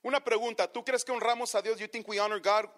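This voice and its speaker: A man's voice: sits high, steady tone, quick pace, a bit bright. A pastor preaching to his congregation, saying each line in one language and then repeating it in the other, and often calling his listeners brothers. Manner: keeps addressing the congregation as brothers. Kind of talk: bilingual sermon.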